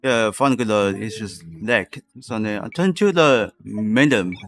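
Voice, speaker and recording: Slow, halting game streamer with music. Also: mid-range voice, expressive. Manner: halting, fragmented phrases. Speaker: live streamer. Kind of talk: casual game livestream commentary.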